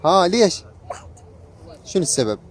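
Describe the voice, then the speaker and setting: A man's voice: high voice, sing-song, normal speed, slightly rough. A man with an Iraqi accent interviewing someone in a casual, chatty style. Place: outdoors on a waterfront promenade. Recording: faint outdoor background noise.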